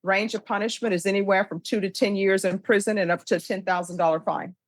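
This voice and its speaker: Female judge, American, mid-range pitch. Brisk, authoritative, formal recitation of procedure, recorded dry. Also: fairly even intonation, dry recording. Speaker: judge presiding over a plea hearing. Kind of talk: formal procedural courtroom questioning. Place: courtroom.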